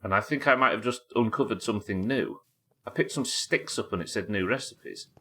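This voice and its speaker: A man's voice: on the low side, brisk, sharp tone, husky. A man with a head cold, sniffly as he casually narrates his live gameplay, thinking aloud and chatting to viewers and his in-game pets. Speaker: livestreaming gamer.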